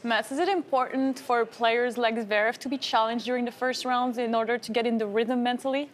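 A young woman presents in a TV studio, putting questions to her guests brightly and laughing easily. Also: fairly high pitch, fairly even intonation, fairly quick.

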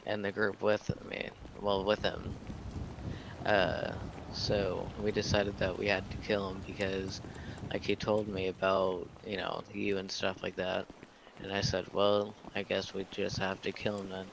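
A man's voice: sits low, level tone, a little slow, gravelly. A man speaking casually in roleplay over online game voice chat. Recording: game voice chat, noisy background.

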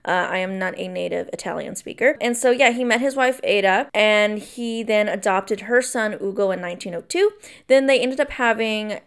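A young woman talks into a desk mic in her bedroom. Her voice sits at a middle pitch and sounds smooth and clear. She rambles through the story with ums, side remarks and the odd curse. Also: normal speed. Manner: rambling, casual, swears freely, frequent ums and asides. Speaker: film vlogger. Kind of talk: casual film review and history rundown.

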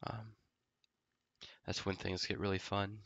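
A man's voice: low voice, unhurried, dry recording, dull audio. A man with a head cold and a nasal, stuffy voice, casually explaining a tech tutorial with lots of ums while he types commands. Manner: relaxed, filler-heavy explaining while typing commands. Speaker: network engineer tutorial host. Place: home office.

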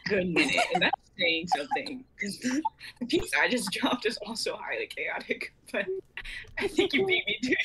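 A woman's voice: medium pitch, wildly swooping pitch, normal speed, sharp tone, slight background noise, low-quality recording. A woman in her twenties chatting casually on a group video call. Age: 20s. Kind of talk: casual chat.

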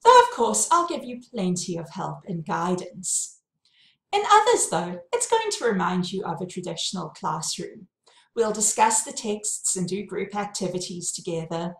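A woman in her thirties with a South African accent, a university lecturer giving a clear, friendly welcome to her course from a home study, recorded on a webcam. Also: lowish voice, sing-song, measured pace, roomy sound, poor audio. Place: home study with bookshelves, indoors.

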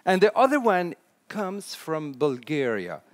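A middle-aged man with a French accent giving an animated conference talk to an audience, chatty and now and then restarting his sentences. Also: a little high, a little slow. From France. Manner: animated, chatty, laughs at own jokes, some hesitant restarts. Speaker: conference speaker. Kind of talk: keynote talk on storytelling. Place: large indoor conference stage.

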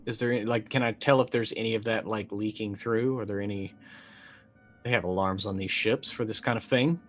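A man in his thirties talking casually as a tabletop game player, asking questions and thinking out loud. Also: on the low side, steady tone, a little fast, faint music behind. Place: indoor room.

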